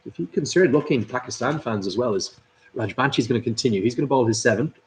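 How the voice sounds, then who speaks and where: A man's voice: medium pitch, lively intonation, quick pace, slight background noise, poor audio. A man hosting a live cricket watchalong, commentating and chatting through viewer comments. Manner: chatty, upbeat, reads out viewers' names and greetings. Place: at a cricket club.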